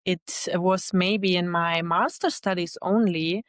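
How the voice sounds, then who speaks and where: A woman, a guest in a casual interview, thoughtful and quick to laugh. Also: on the low side, steady tone, dry recording, studio quality. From Germany. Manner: laughs easily, thoughtful, reflective. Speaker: guest, LinkedIn expert.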